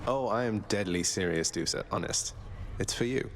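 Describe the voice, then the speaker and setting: Man as a deep, wry young underworld prince. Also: moderate pace, soft background music, natural voice. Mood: friendly, earnest. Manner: dry, understated, casual politeness. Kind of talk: video game character acting.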